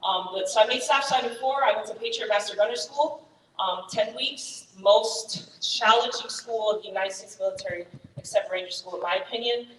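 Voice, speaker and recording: A woman in her thirties, raised in Germany with German as her first language, telling her Army career story to fellow soldiers in a candid, energetic way. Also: medium pitch, brisk, echoey room, long reverb tail, distant mic, slight background noise, poor audio. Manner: candid, energetic, many fillers. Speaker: Army first sergeant, guest speaker. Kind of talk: personal story talk to soldiers.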